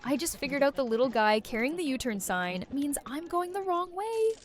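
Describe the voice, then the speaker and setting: A woman's voice: high-pitched, big pitch swings, moderate pace, slight background noise. A woman playing a brash, sarcastic young gamer with blunt, slangy delivery in an audio drama. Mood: exasperated, mocking. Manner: blunt, exasperated slangy talk. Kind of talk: fan audio drama acting.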